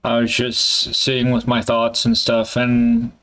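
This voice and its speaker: Man, slow hesitant talk, smooth clear voice, casual swearing, solo vlog. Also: mid-range voice, monotone, fairly bright tone, rough recording. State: congested. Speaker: vlogger. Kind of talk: candid solo vlog, thinking aloud.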